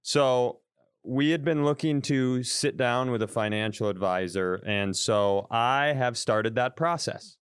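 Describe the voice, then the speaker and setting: Smooth-voiced man telling a rambling story. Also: medium pitch, unhurried, no echo, studio quality. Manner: rambling, self-deprecating, restarts often. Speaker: podcast co-host. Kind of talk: casual comedic storytelling. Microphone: podcast mic over video call.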